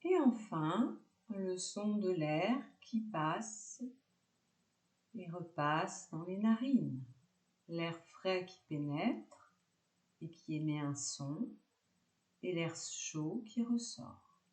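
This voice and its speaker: A woman teaching yoga nidra, guiding a relaxation meditation calmly in short, separated phrases. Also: fairly low pitch, extremely slow, long pauses, roomy sound.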